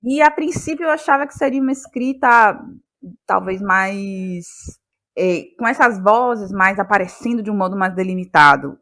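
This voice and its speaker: A woman's voice: mid-range voice, expressive, normal speed, fairly bright tone. A woman, reflecting on her creative process as an interview guest, searching for words and repeating them as ideas form. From Bahia, Brazil. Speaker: interviewed guest, playwright and actress.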